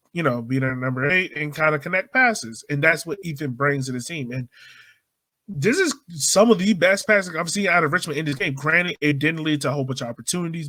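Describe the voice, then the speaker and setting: A man's voice: fairly high pitch, bright, forceful tone, no room sound. A man hosting a podcast, giving casual sports analysis in conversation. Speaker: podcast host.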